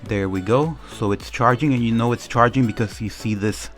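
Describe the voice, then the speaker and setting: A man's voice: medium pitch, moderate pace, faint music behind. A man giving a casual, informal product demo, explaining things step by step as a reviewer. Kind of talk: casual unboxing and demo commentary.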